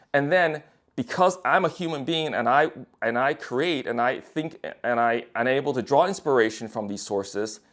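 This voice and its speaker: A young man, a writer and designer, talking bluntly and profanely to camera in a rambling casual monologue with many restarts. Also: mid-range voice. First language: English. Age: young adult.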